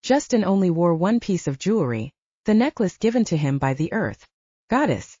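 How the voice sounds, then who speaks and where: A woman's voice: on the low side, very animated, moderate pace, warm tone, slightly rough. A woman reading scripted fiction as an audiobook narrator. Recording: roomy, dull lo-fi audio.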